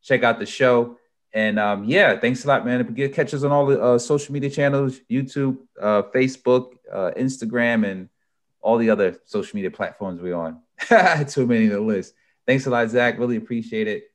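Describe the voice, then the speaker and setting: A man hosting a podcast, thanking his guest and wrapping up the interview in a relaxed, friendly way. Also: mid-range voice, moderate pace. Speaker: podcast host.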